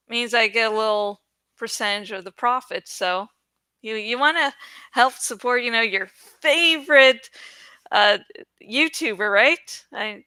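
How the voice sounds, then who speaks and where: Woman, fairly high bright voice, dry close sound, playful rambling vlog chat. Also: smooth, no room sound. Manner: rambling, playful, self-deprecating, giggly. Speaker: YouTuber who reads books aloud. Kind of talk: casual, chatty vlog talk to viewers.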